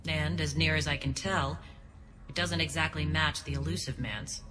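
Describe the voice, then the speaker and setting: Low, echoing female alien rebel leader. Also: steady tone, a bit bright, faint music behind. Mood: earnest, measured. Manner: earnest, measured, dryly pointed. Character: principled, wary female alien resistance leader, ex-soldier. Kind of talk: dramatic video game acting. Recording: long reverb, noisy background.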